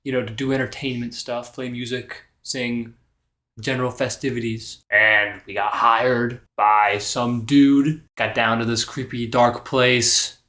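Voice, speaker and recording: A man's voice: mid-range voice, flat delivery, a little slow, bright, forceful tone. A man playing a wounded, dismissive bard in improvised tabletop roleplay, in a casual rambling way. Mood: downplaying. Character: defensive wounded male bard, brushing off trauma.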